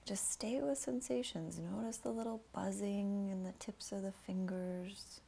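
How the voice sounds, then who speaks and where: A woman instructor guiding a slow breathing meditation, often dropping to a soft whisper as she coaches. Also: mid-range voice, steady tone, vocal fry, slight background noise.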